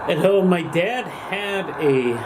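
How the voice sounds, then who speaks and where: A man's voice: high-pitched, slow. A man in his sixties talks casually and nostalgically, musing like a hobbyist sharing memories. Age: 60s. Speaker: shortwave radio hobbyist. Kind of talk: casual reminiscing monologue. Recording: loud radio static and hum. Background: shortwave radio noise and droning signals.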